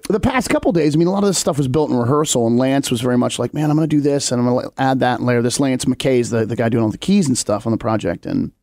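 Relaxed rambling male podcast guest. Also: medium pitch, fast, few pauses, dry recording. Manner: relaxed, rambling, with many fillers. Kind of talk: casual chat.

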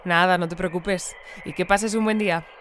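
An actress playing a composed radio newsreader in an audio fiction, reading scripted news in a measured, polite, deadpan way. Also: female voice, lowish voice, a little hiss or hum, lightly acted. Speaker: news presenter.

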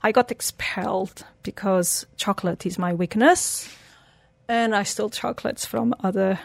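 A woman's voice: medium pitch, normal speed, vocal fry, dry recording. A woman, telling her life story earnestly as an interview guest. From Portugal. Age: 40s. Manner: earnest, storytelling, slightly broken English phrasing.

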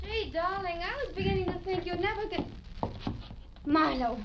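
An actress playing a brassy, scheming young blackmailer in a 1940s radio crime drama. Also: female voice, very high-pitched, animated, normal speed, muffled recording. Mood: brassy, defiant. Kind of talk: 1940s radio noir acting. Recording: noisy vintage radio recording.

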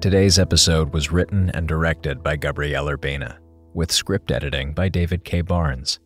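Deep-voiced man over music. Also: steady tone, normal speed. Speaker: podcast producer. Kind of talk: scripted credits and listener address.

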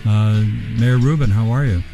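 A man's voice: on the low side, normal speed, warm tone, soft background music. A male radio host interviewing a guest, talking hesitantly with many fillers.

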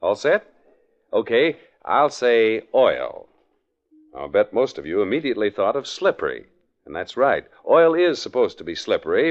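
A male announcer with a smooth, salesy delivery. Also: mid-range voice. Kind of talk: radio announcing. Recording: muffled 1940s radio broadcast with music.

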